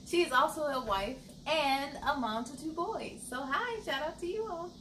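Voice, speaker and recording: A woman in her thirties reading aloud to children as a storytime host, with an encouraging, animated manner and simple phrasing. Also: sits high, normal speed, a little hiss or hum, poor audio. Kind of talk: children's read-aloud, addressing young listeners.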